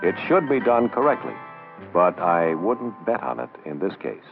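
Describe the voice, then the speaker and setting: A man with a deep voice narrates an old instructional film. He is wry and instructive, with a lively swing in his delivery. The soundtrack is muffled. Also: normal speed, creaky, soft background music.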